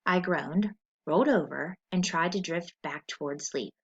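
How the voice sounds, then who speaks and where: A woman teacher reading a story aloud in an expressive, deliberate, instructional way, as for a lesson with questions. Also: lowish voice, breathy edge. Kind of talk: scripted story read-aloud with comprehension questions. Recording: dull lo-fi recording.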